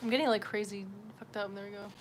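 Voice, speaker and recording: A woman's voice: mid-range voice, steady tone, fast talker. A woman chatting casually as she hosts a livestream, informal and sweary, with a self-deprecating way of talking. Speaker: livestream host. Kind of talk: casual livestream chat while drawing. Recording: clear background noise and room ambience.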